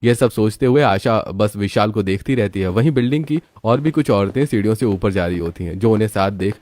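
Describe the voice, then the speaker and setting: A man's voice: on the low side, a little fast, darkish tone, no room sound. A man narrating a scripted moral story as a storyteller.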